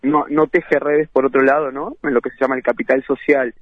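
A man's voice: medium pitch, steady tone, brisk, no echo. A man from Uruguay with a Uruguayan Spanish accent, a musician and producer talking casually as a radio guest. He laughs easily and restarts his phrases often. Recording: tinny phone line.

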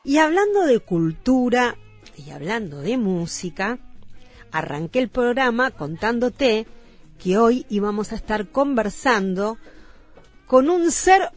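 A woman's voice: fairly high pitch, sing-song, slow pace, faint music behind. A woman radio host with a Uruguayan Spanish accent, talking casually with a guest and filling in with frequent "ujú" and "claro".

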